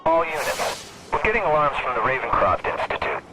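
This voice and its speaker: A man playing a brisk police dispatcher heard over a radio in a video game. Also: on the high side, very animated, music in the background, low-quality recording. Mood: urgent, official. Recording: radio transmission with loud noise, sirens.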